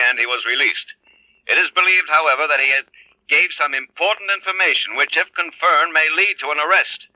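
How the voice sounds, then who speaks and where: An actor playing an urgent, formal radio newscaster delivering a crime bulletin in a declamatory 1950s style. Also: male voice, medium pitch, sharp tone, no room sound, poor audio.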